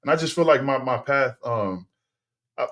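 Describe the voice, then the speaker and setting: A middle-aged man whose first tongue is Tongan speaks at an ordinary mid pitch. He talks like a relaxed podcast guest who circles back and restarts sentences. The tone stays easy and conversational. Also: moderate pace. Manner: relaxed, rambling, many fillers and restarts. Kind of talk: casual interview storytelling.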